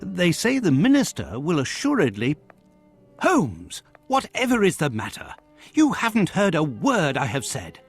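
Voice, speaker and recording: An actor playing a genial, busy Victorian physician in a video game, chatty and formal in an old-fashioned way. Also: male voice, fairly high pitch, huge pitch swings, measured pace, husky, faint music behind, exaggerated voice. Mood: chatty, exasperated. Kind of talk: video game cutscene acting.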